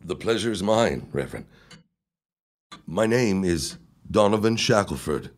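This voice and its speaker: An actor playing an ambitious young protégé in an audio drama, speaking in a formal, deferential manner. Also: male voice, deep voice, lively intonation, unhurried.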